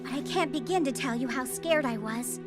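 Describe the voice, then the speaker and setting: Woman voicing an earnest high-pitched anime schoolgirl. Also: brisk, over music, close to an everyday voice. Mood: earnest, vulnerable. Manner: sincere, emphatic. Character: earnest, brave teenage schoolgirl running for student president. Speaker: voice actor. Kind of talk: anime English dub acting.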